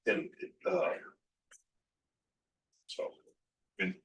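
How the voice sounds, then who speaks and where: A poet from Los Angeles speaking at a book event, halting and repeating words as he starts each thought. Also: a bit bright, distant mic. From Los Angeles, California. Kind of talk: book event talk and reading.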